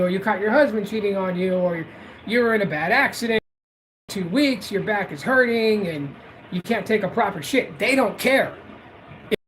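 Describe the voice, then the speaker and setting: A man hosting a livestream, rambling in a loose, repetitive way and drifting between louder and quieter stretches. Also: sits high, a little hiss or hum, rough recording. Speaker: livestream host. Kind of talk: casual livestream rant.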